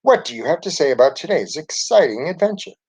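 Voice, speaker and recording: Man as high-pitched inquisitive schoolgirl reporter. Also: big pitch swings, quick pace, darkish tone, breathy edge, dry recording, heavily acted. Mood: eager, questioning. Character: inquisitive young schoolgirl playing news reporter. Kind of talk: children's storybook read-aloud. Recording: dull lo-fi home recording.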